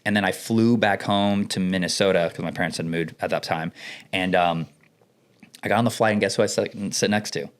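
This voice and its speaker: Expressive young man from the Dominican Republic. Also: deep voice, brisk. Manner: enthusiastic, expressive storyteller. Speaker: podcast co-host. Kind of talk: casual conversation.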